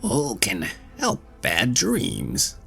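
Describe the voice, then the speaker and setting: A man voicing the devil's old grandmother, speaking slowly and softly. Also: fairly low pitch, wildly swooping pitch, creaky, voice pushed up, heavily acted. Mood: calm. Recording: faint music behind.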